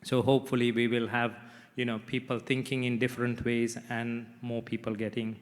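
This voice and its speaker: A man from Sri Lanka moderating a panel discussion, measured, with frequent "you know" fillers. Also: medium pitch, little pitch movement, long reverb tail. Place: conference hall.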